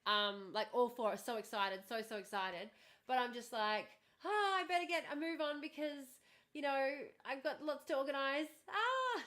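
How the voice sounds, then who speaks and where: A woman's voice: high voice, lively intonation, normal speed. A woman hosting a casual livestream, chatty and bubbly, her voice starting to go after hours of talking. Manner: bubbly, chatty, thanks viewers warmly and often. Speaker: livestream host. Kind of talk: casual livestream chat with viewers.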